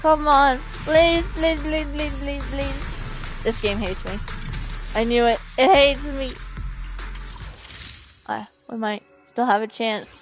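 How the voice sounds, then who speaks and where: A woman with a notably high voice streams a game at an unhurried, slow pace. She talks casually to her chat in a playful, expressive way, with music playing softly behind her. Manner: playful, expressive, pleading and exclaiming. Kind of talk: casual livestream chat over gameplay.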